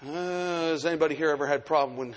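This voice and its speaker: A man's voice: a little high, fast. A man in his sixties preaching a live sermon as pastor, with rhythmic repeated phrases. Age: 60s. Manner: rhythmic repeated phrases, swells into chanted shouting. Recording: long hall reverb, faint music behind.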